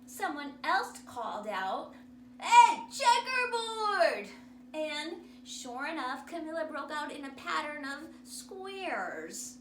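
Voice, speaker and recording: A high-voiced woman reads a children's story aloud like a drama teacher. Her delivery is theatrical and very animated, with slow, emphatic phrasing. The sound is echoey. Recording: roomy, distant mic, noisy background.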